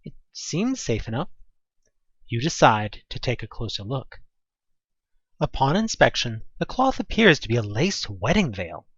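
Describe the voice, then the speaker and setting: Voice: mid-range voice, sing-song, measured pace, fairly bright tone, slightly rough, no room sound, highs cut off. A narrator reading a second-person horror audio drama expressively, with dramatic delivery and conversational asides.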